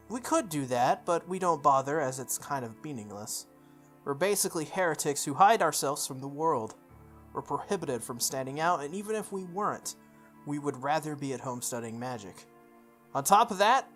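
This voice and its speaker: Visual novel playthrough host, medium pitch, narrating on-screen text with offhand informal commentary, music underneath. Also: animated, normal speed. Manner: informal, slips into offhand asides. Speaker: video game streamer doing a playthrough. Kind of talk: reading visual novel text aloud with casual side comments.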